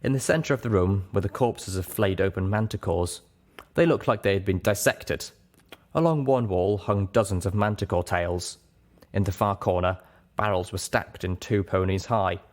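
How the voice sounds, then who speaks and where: A man's voice: low-pitched, moderate pace. A man reading scripted first-person fiction aloud as an audiobook narrator.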